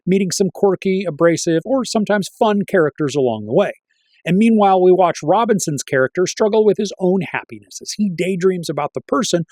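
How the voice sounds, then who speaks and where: A man's voice: fairly high pitch, darkish tone, no echo. A middle-aged man hosting a review show, delivering a scripted, articulate and wryly opinionated critique. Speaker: film reviewer and channel host. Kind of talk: scripted movie review to camera.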